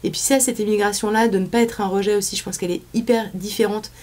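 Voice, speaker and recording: A woman's voice: mid-range voice, steady tone, fast, a little mellow. A woman in her thirties from France with a French accent, chatting casually as an interview guest, full of fillers and restarts. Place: small studio set, indoors.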